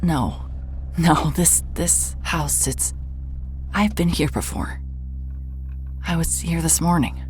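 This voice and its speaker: Woman playing low-voiced, wary private investigator. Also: sing-song, slow, pauses often, darkish tone, a little hiss or hum, full character voice. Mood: unsettled. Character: wary, dogged female private investigator, city slicker. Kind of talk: audio drama acting with first-person narration.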